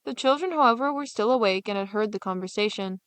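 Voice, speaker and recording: A woman's voice: mid-range voice, fast talker, a bit bright, clear, resonant tone, dry recording. A woman storyteller reading a scripted fairy tale as narration.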